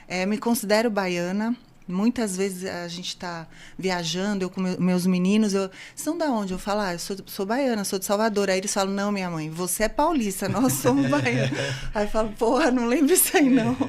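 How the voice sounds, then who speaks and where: A woman in her fifties from São Paulo, a guest on an interview podcast, telling her story in a lively way and acting out the dialogue she quotes. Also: mid-range voice, a little fast. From São Paulo, Brazil. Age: 50s. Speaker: guest, open-water ultramarathon swimmer.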